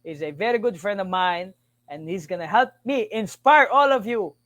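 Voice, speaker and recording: High-pitched guy hosting an interview, gushing, repeats himself, dry close sound. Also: lively intonation, moderate pace, fairly bright tone, no echo. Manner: effusive, repeats words, frequent you know. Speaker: host.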